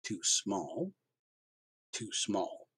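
A man's voice: lowish voice, moderate pace, no room sound, close to an everyday voice. A man reading aloud and voicing a domineering, contemptuous middle-aged father with a harsh, commanding manner. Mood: stunned.

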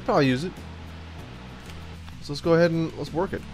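A man's voice: on the high side, brisk, a little mellow, faint music behind. A young man with a stuffed-up cold voice, giving jokey let's-play commentary while he plays and riffing to himself. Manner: goofy, jokey, sings and riffs to himself. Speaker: gaming YouTuber.

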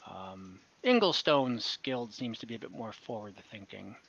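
A friendly, businesslike merchant, voiced by a man running the game talks shop in an easygoing way. The voice is bright and forward. The call audio is dull and lo-fi. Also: fairly low pitch, lively intonation, unhurried, vocal fry, breathy edge, heavily acted. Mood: genial. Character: friendly, businesslike male merchant who owns a port.

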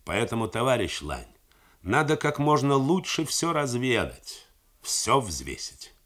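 A man reading the part of a calm, deliberate army general who reasons things through steadily. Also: mid-range voice, voice pushed up. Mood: reasoning. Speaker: army commander.

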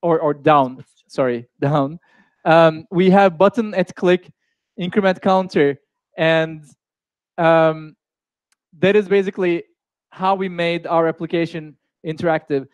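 A man's voice: a little high, fairly even intonation, a little slow, dry recording. A young man hosting a live online coding course, chatty, with fillers and restarts. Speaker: course host.

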